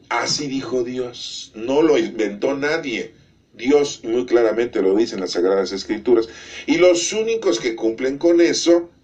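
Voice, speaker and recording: A man's voice: medium pitch, darkish tone. A middle-aged man explaining religious teaching emphatically, asking rhetorical questions and checking in with his listeners. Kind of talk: catechism explanation.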